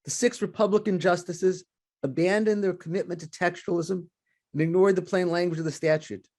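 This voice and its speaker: A man's voice: high voice, fairly even intonation, brisk, darkish tone. A male panelist reading aloud from a piece he wrote. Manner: reading out his own written piece. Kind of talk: panel remarks.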